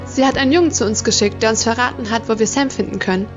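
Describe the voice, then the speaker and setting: A woman's voice: fairly high pitch, quick pace, lo-fi sound, over music, natural voice. An actress playing a relieved, reassuring mother in a radio drama.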